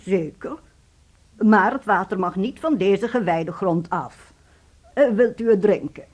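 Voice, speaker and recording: An actress playing a pious, businesslike nun in a radio play, formal, measured and matter-of-fact. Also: female voice, medium pitch, darkish tone, husky. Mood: brisk, formal. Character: businesslike, pious nun directing pilgrims at holy springs.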